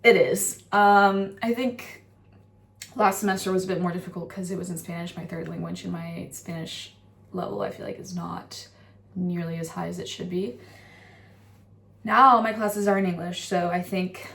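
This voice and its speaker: A woman's voice: lowish voice, steady tone, moderate pace, creaky, slight background noise. A young American woman chatting casually as a student vlogger, recapping her days in an informal way. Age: young adult. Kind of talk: casual vlog chat with voice-over recaps.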